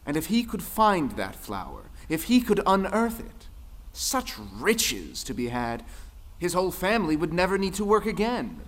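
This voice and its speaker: A man's voice: high voice, very animated, poor audio. Theatrical, swooping male storyteller. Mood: dramatic. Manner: dramatic, drawn-out emphasis. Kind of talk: scripted theatrical folktale narration. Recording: music behind the voice. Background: music.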